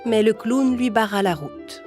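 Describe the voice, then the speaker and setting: A woman narrating a cartoon fairy tale in a calm, even storytelling voice. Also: fairly high pitch, unhurried. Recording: music playing underneath.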